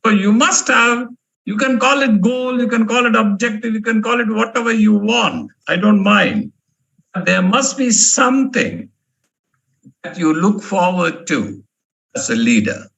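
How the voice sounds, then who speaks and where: A man's voice: high-pitched, animated, measured pace, vocal fry, a bit bright. A male professor from India giving a motivational management lecture, emphatic and fond of repeating key words. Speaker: professor giving a guest talk.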